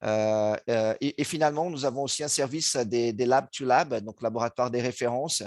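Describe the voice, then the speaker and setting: Halting Brazilian man presenting slides. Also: medium pitch, no echo, studio quality. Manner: halting, searching for words, frequent restarts. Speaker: guest speaker, board chairman. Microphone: remote video call.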